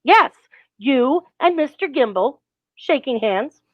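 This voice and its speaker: A woman acts a brisk, polished businesswoman in a forties radio play. Her high voice is very animated and persuasive, heard through slightly dull, lo-fi sound. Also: heavily acted. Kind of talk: 1940s radio acting.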